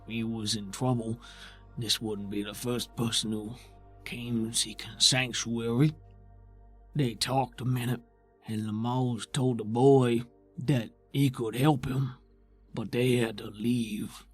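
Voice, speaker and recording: Man voicing a slow-talking, weary rustic creature. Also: mid-range voice, steady tone, husky, soft background music. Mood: troubled, regretful. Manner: halting, folksy, ungrammatical speech. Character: slow, weary, rustic tentacled male creature, protective and plainspoken. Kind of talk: in-character tabletop roleplay.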